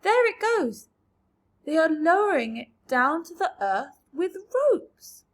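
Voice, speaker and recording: A woman reading aloud, voicing an eager, excitable young boy who calls out breathlessly in a storybook. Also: extremely high pitch, takes it slowly, voice pushed up, exaggerated voice. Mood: astonished.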